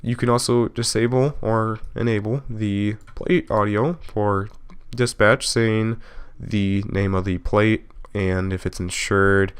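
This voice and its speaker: A man narrating a software tutorial casually, explaining step by step in an informal way and correcting himself as he goes. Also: fairly low pitch, steady tone, slow, clear, resonant tone. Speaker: video tutorial host.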